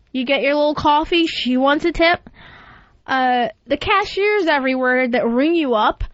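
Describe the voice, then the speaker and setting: A woman's voice: high-pitched, dry recording, dull audio. A woman hosting a radio call-in show with casual, profane, brash and blunt ranting talk.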